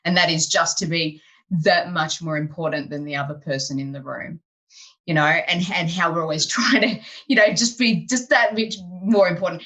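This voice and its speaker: Woman host, low pitch, bright forceful tone, chatty video-call interview. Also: normal speed. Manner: animated, chatty, many fillers like "you know". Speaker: podcast host and improv teacher. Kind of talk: casual interview chat.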